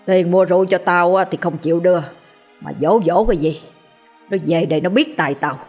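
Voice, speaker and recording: A woman's voice: deep voice, steady tone, unhurried, faint music behind. A woman with a Southern Vietnamese accent playing a drunken, abusive husband who slurs his words as he rants. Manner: slurred, belligerent. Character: drunken, abusive middle-aged husband, slurring.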